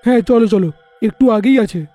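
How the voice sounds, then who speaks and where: A brisk, impatient family man, as a man plays him, talks in a very high but mellow voice. The recording is close and dry, made for a cartoon tale. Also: dry recording, soft background music. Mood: puzzled. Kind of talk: cartoon story voice acting.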